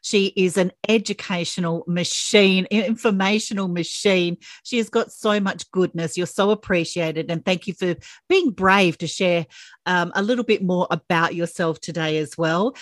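A woman hosts a podcast interview with a lower-pitched voice, affirming and encouraging her guest with effusive praise. The recording is dry and close, without any reverb. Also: fairly bright tone. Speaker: podcast host. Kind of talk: interview hosting.

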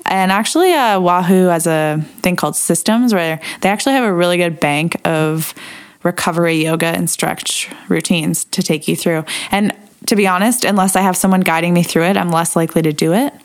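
A woman's voice: on the low side, a little fast. A woman from Canada with a slight Canadian accent, co-hosting a podcast in an easygoing, practical way. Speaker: podcast co-host. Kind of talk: casual podcast chat.

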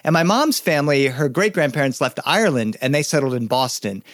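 A man who is a guest storyteller and educator, talking through his story in a relaxed interview, animated and anecdotal, with fillers and restarts. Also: medium pitch, brisk, no echo, studio quality. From United States.

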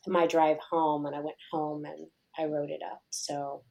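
A middle-aged woman, a yoga teacher, reading her own personal essay aloud in a slow, measured, reflective way. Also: deep voice, little pitch movement, creaky, darkish tone, rough recording.

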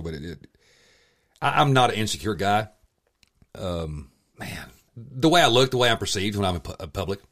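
Man, radio host, casual chat, halting and stammering, pitch that swings a lot. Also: a bit bright. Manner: halting, stammering, self-deprecating.

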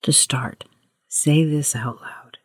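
A woman leading a guided meditation as host, with a hushed, breathy voice and gentle, unhurried instructions. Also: deep voice, no room sound, studio quality. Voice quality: breathy, husky, often near whisper.

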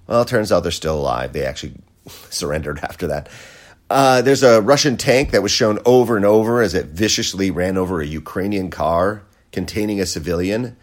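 A man's voice: sits low, lively intonation, a little fast. An American man hosting a podcast, delivering a sarcastic, profane opinion rant. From United States. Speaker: podcast host. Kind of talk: opinion monologue.

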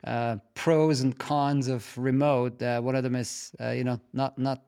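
A man hosting an interview podcast, asking questions in a casual, halting way with fillers. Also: mid-range voice, little pitch movement, vocal fry, dark tone, smooth. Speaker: podcast host.

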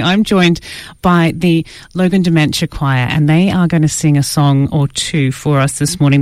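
A woman's voice: lowish voice, dry recording. A woman radio host chatting casually and live in a studio, with a self-deprecating, informal manner. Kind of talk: casual live interview chat. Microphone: studio microphone.

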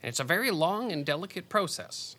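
A man's voice: high voice, expressive, a little fast, bright, forceful tone. A man voicing a proud, grumpy rabbit scribe with a fussy, pompous manner in a children's audio drama. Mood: pedantic. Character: grumpy, proud male rabbit scribe, pedantic and easily offended.

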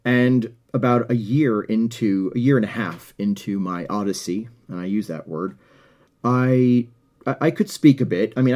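A man's voice: mid-range voice, warm tone. A middle-aged man hosting a podcast, giving an earnest motivational talk and often repeating words while he finds his phrasing. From United States. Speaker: podcast host. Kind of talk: motivational monologue.

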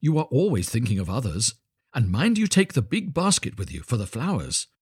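A man voicing an admiring, comfortable storybook wife of a miller, gushing in drawn-out phrases. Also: mid-range voice, sing-song, a little mellow, breathy edge, no room sound, clean studio sound. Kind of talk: storybook character voice.

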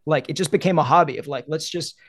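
A man's voice: fairly high pitch, fast talker, no echo, studio quality. A young man chatting casually as a guest, rambling with many "like"s. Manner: rambling, heavy use of "like". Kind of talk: casual conversation.

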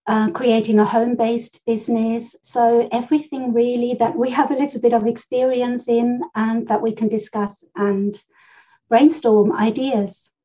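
A woman's voice: a little high, mellow. A middle-aged woman from Germany co-hosting a podcast, telling stories in a rambling, chatty way with frequent laughs. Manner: chatty, rambling storyteller who laughs often. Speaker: podcast co-host. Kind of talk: casual conversational podcast chat. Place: home room full of books. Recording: slightly dull, rough audio.

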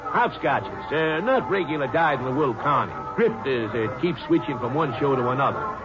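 A man's voice: mid-range voice, animated, normal speed, natural voice. A man playing a wordy, evasive carnival showman with a florid, mock-courteous manner in a 1950s radio drama. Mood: smooth-talking. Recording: muffled old-time radio recording with music.

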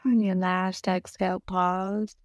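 A woman guiding a meditation in a soothing, gentle manner, giving unhurried instructions with long pauses between short phrases. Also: fairly low pitch, flat delivery, no room sound, studio quality. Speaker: meditation guide. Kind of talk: guided meditation narration.

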